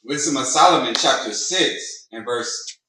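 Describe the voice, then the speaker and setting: A man's voice: mid-range voice, a little slow, sharp tone, a little hiss or hum. Man reading scripture in an echoey hall. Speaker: scripture reader in class. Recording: very echoey room, distant mic.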